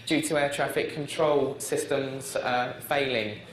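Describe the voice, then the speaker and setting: A man's voice: medium pitch, fairly even intonation, unhurried, noisy background. A man working for an airline, addressing passengers in a firm, formal, defensive way. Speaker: airline staff member. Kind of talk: announcements and arguing with passengers. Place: busy airport terminal. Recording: echoey airport hall, crowd chatter.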